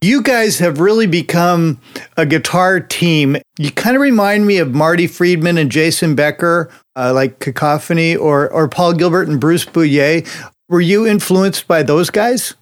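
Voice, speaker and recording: A man's voice: on the high side, moderate pace. A man hosting an interview, speaking in an enthusiastic, friendly way and laughing often. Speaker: interviewer and show host. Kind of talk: interview host.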